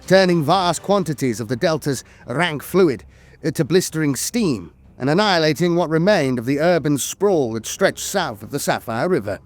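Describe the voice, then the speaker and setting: A man's voice: a little high, darkish tone. A man reading scripted war lore as a narrator, in a formal, dramatic storytelling manner with the occasional hesitation.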